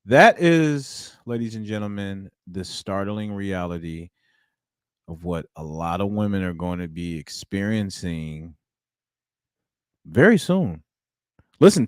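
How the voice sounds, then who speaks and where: A man in his thirties hosts a livestream into a studio mic, speaking with headphones on. His pitch swings widely as he preaches and addresses his chat, and the sound is dry and close. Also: no echo. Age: 30s. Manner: animated, preachy, talks to the chat. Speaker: livestream host. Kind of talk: live commentary.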